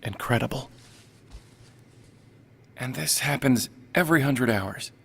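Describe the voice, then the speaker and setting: Gravelly, imperious boss. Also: male voice, mid-range voice, measured pace, fairly bright tone, full character voice. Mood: impatient. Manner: commanding, pointed questioning. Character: imperious, impatient powerful male boss, coolly menacing. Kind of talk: sci-fi audio drama acting.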